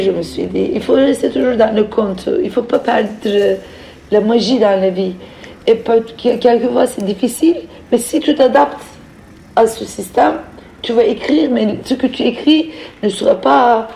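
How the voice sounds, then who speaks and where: A middle-aged woman from Turkey with a Turkish accent, telling her own story off the cuff as a novelist, reflective and halting as she searches for words and repeats phrases for emphasis. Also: medium pitch, vocal fry, warm tone. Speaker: novelist speaking as a guest. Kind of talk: reflective personal account, spoken off the cuff.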